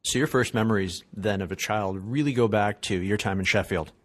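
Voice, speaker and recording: Male podcast host, quick conversational delivery, deadened room. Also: on the low side, dry recording. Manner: brisk, conversational questioning. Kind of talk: podcast interview.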